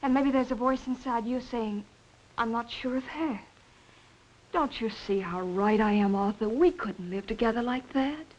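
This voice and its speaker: A woman acting an earnest, anguished wife in a 1940s film melodrama, measured and dramatic. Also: a little high, darkish tone, natural voice. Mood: pleading, earnest. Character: earnest, anguished married woman pleading for honesty. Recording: muffled, hissy old film soundtrack.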